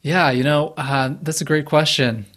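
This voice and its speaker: A man speaking as a guest in a casual podcast interview over a video call, measured and thoughtful, with a fairly even voice. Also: on the high side. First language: Japanese alongside English.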